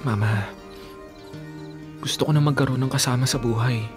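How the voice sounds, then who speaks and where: A man's voice: mid-range voice, steady tone, normal speed, darkish tone, lightly acted. A man playing an obsessive, lovesick young ex-boyfriend, ardent and imploring in a radio melodrama. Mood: earnest, insistent. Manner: ardent, imploring, overwrought delivery. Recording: dramatic music under the voice.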